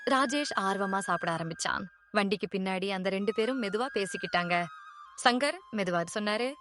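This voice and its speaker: Storybook narrator, woman, even middle pitch, music bed. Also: normal speed.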